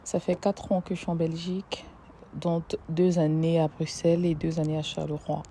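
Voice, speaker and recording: A young woman from Africa, a social work student, giving a hesitant personal testimony with many pauses and restarts. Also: deep voice, little pitch movement, warm tone, a little hiss or hum.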